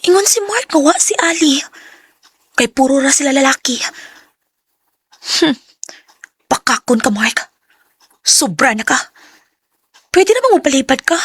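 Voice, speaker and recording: A woman's voice: sits high, animated, measured pace, pauses often, a bit bright, no room sound. An actress playing a young woman hurt by her cold boyfriend in a radio drama, with a Cebuano Filipino accent. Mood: hurt, frustrated. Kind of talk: Cebuano radio drama acting with first-person narration.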